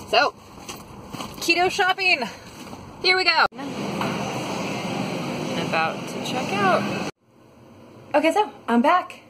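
A woman's voice: fairly high pitch, background noise. A woman in her twenties chatting casually into her phone camera for a grocery haul vlog, rambling with lots of fillers. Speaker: vlogger. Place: parked car, then a home kitchen. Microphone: phone camera at arm's length.

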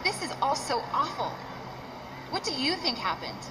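A woman's voice: high-pitched, very animated, normal speed. A worried young female wrestler. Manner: pleading, earnest. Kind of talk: video game cutscene dialogue. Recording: distant noisy game audio.

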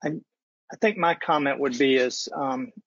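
A man's voice: mid-range voice, normal speed, a bit bright, breathy edge, no echo. A man speaking as a technical panelist in an unscripted discussion. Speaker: panelist from a supercomputing research facility. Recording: dull, lo-fi online call audio.

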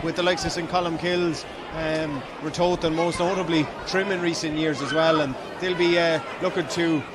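A man's voice: fairly high pitch, fairly even intonation, normal speed. An Irish man giving live play-by-play commentary on a Gaelic football match. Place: outdoor stadium stand. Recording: loud crowd and outdoor stadium noise. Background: loud crowd and ground noise.

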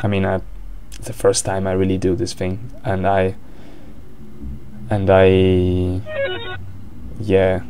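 Low-voiced Italian man, hesitant commentator. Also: monotone, takes it slowly, pauses often, over music. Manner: hesitant, many fillers and restarts. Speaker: game commentator. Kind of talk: live-streamed game commentary.

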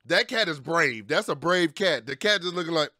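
A man in his thirties giving casual, slangy reaction commentary with animated banter and swearing, close on a studio mic. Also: a little high, normal speed, no room sound. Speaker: reaction video host. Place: small home streaming room. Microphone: close studio mic on boom arm, headset.

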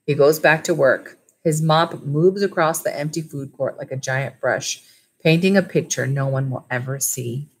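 Woman in her 30s, low voice, reading a kids book like a teacher on webcam. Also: normal speed, breathy edge, rough recording. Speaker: school library teacher reading aloud to pupils. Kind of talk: storybook read-aloud and informal lesson for children. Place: small indoor room at home with wood-panelled walls. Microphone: webcam or laptop mic at arm's length.

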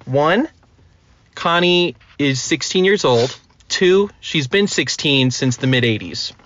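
A man's voice: on the high side, expressive, slow, fairly bright tone, a little hiss or hum. A man chatting casually as a podcast host. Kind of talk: casual chat. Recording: slightly dull, lo-fi sound.

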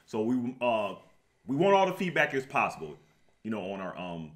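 A man's voice: mid-range voice, sing-song, normal speed. A man in his forties co-hosting a casual chat, agreeable and prone to repeating his phrases. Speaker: co-host of a pop culture podcast. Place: indoor makeshift studio room.

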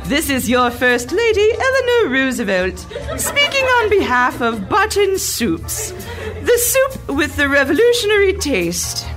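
A woman's voice: sits high, huge pitch swings, unhurried, music in the background, exaggerated voice. A woman voicing a grand, stately parody First Lady in a 1940s radio commercial, drawing out her words theatrically. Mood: grandly promotional.

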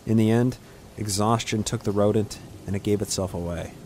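A man's voice: fairly low pitch, steady tone, normal speed. Male fantasy storyteller over background noise. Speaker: narrator. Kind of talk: scripted dramatic fantasy narration. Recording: clear background ambient noise.

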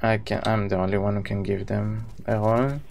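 A man's voice: fairly low pitch, level tone, brisk, vocal fry, darkish tone, slight background noise. A man in his thirties with an Israeli accent, a native Hebrew speaker, chatting casually with viewers as he live-streams a video game.

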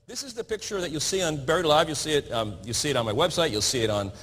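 A man giving a lecture at a lectern on a stage, speaking haltingly with fillers. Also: fairly high pitch, animated, a bit bright, breathy edge, long reverb tail. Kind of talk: lecture talk.

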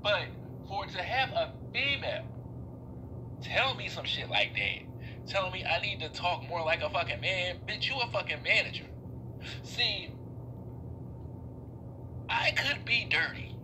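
A young man rants into a phone held low at arm's length, swearing freely. His fairly high voice swings up and down as his feelings rise. Room noise and passing vehicles sit clearly behind him. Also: measured pace, long pauses. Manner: animated, swearing, voice swinging up and down in pitch. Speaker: vlogger venting on a live stream. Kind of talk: casual ranting monologue. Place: indoors in a workplace room. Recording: phone live stream with clear background noise.

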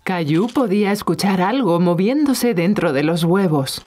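A woman's voice: lowish voice, expressive, moderate pace, close to an everyday voice. A woman narrating a children's cartoon as a kindly storyteller, reading scripted lines. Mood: calm storytelling. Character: kindly storyteller narrating a little boy's day.

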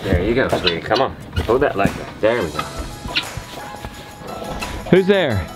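A man's voice: fairly low pitch, sing-song, measured pace, loud music behind the voice. A man in his thirties talking casually and encouragingly, a folksy father vlogging through farm chores. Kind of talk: casual vlog talk while doing farm chores.

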